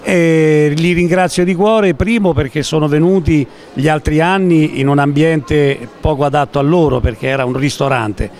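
Older Italian man, 60s, charity president; slightly high voice, slow and earnest, lobby interview on handheld mic. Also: a little mellow. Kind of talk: interview at an event. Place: large indoor lobby of a concert venue.

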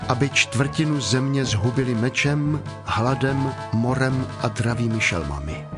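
A male narrator reads scripture as drama, solemn and measured. His voice is mid-pitched, with music playing clearly behind it.

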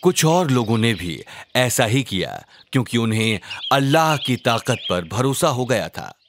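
A man narrating a scripted children's religious story in a measured, dramatic storyteller delivery. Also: mid-range voice, very animated, noisy background.

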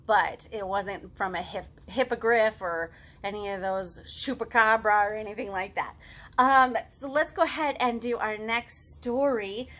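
A woman in her thirties with a high voice reads and chats to young children at storytime. She is expressive and encouraging, keeping her phrasing simple for little listeners. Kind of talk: animated children's storytime, reading aloud and chatting to young children. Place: living room at home.

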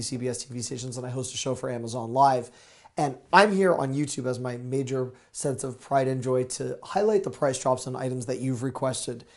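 A man in his thirties, slightly hoarse, pitching bargains to camera with upbeat, salesy energy. He talks on a lapel mic in a small studio lined with gadgets. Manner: energetic, salesy, gesturing with products. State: a little hoarse. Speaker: deal show host.

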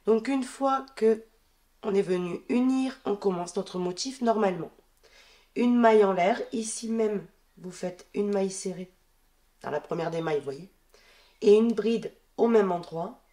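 A woman with a stuffy head cold talks through a crochet pattern in a calm, even voice. She counts her stitches aloud as she works and keeps every step plain. Her voice sits at an ordinary middle pitch for a woman. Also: unhurried. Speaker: crochet tutorial host. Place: indoors at a wooden table.

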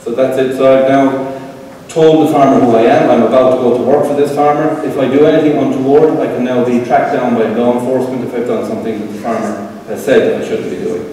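Middle-aged Irish man, relaxed presenter with fillers; mellow tone, long hall reverb. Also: mid-range voice, a little fast, hardly any pauses. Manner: relaxed, conversational, frequent fillers. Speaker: tech presenter. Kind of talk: conference talk with live demo. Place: event hall with seated audience. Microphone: room pickup from far camera. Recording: roomy hall echo from far off.

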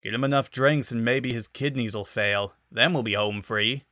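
A man's voice: mid-range voice, moderate pace, bright, forceful tone, no echo, studio quality, close to an everyday voice. A man voicing a cocky male bird mercenary, brusque and grousing, with an urban lilt, in a story reading. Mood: grumbling.

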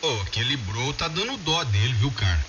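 A hissy, dull shortwave signal carries a Brazilian man of medium pitch. He talks casually and colloquially, like an amateur radio operator addressing his friends on the air. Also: big pitch swings, moderate pace, pushed and bright. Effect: shortwave ham radio transmission. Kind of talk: casual chat over ham radio.

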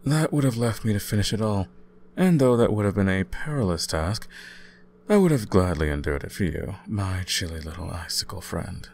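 A young man playing an easygoing, caring student roommate in an intimate ASMR audio roleplay, talking casually with gentle teasing. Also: on the low side, animated, moderate pace, a little hiss or hum. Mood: gentle, reassuring. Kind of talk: intimate ASMR boyfriend-style roleplay, conversational acting.

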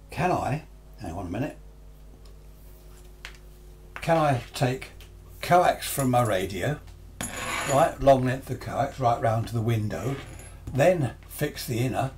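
An older man with a medium-pitched voice. He explains in a conversational, unscripted way, like a hobbyist giving a workshop tutorial. He often restates his points in slightly different words. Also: a little mellow, a little hiss or hum. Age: 60s or 70s. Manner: conversational, explanatory, repeats and rephrases himself. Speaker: amateur radio enthusiast presenting a tutorial. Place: small cluttered home radio workshop, indoors.

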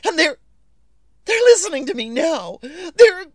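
A terrified, high-pitched wife. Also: female voice, sing-song, no echo, clean studio sound, heavily acted. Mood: hushed fear. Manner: breathless, halting, repeating herself. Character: terrified, desperate, pleading invalid wife alone at home. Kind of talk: old-time radio thriller acting.

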